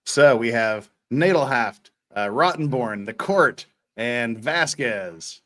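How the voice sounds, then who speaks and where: A man's voice: mid-range voice, slow. A man hosting a livestream, bantering in a jokey way and reading court transcripts aloud. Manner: jokey, animated. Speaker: livestream host.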